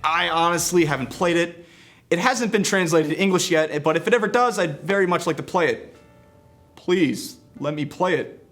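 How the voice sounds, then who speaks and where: A man's voice: on the high side, normal speed, a bit bright, soft background music. A man presenting a scripted video game review with casual asides.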